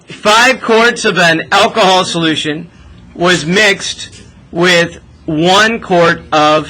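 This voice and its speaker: Bright, high-voiced man tutoring math. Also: low-quality recording. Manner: conversational, often tags questions with "right?". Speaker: math teacher. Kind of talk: step-by-step tutoring with checking questions.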